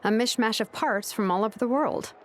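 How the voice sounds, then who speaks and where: A woman's voice: a little high, a little fast, slight background noise, lightly acted. A woman playing a cheerful, curious young female inventor in a video game, speaking in a casual, inquisitive way. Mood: musing. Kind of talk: game voice acting. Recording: music clearly behind.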